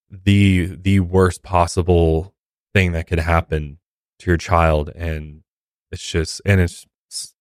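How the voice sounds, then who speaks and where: Deep-voiced American man, casual podcast co-host. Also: no room sound, clean studio sound. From United States.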